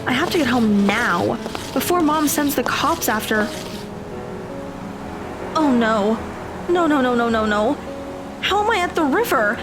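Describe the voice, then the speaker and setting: A woman's voice: sits high, animated, a little slow, long pauses, music in the background. A woman playing an anxious, self-questioning teenage girl who frets and thinks aloud to herself in an audio drama. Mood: frustrated, puzzled. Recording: stormy ambience noise behind voice.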